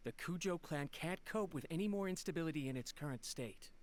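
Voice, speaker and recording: A man plays a loyal servant of a noble house in game dialogue. His voice is rather high and very animated, deferential and full of worry for his master. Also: brisk, a little hiss or hum, close to an everyday voice. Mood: worried, consoling. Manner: deferential, solicitous. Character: anxious, devoted male retainer of a noble house. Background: soft game music.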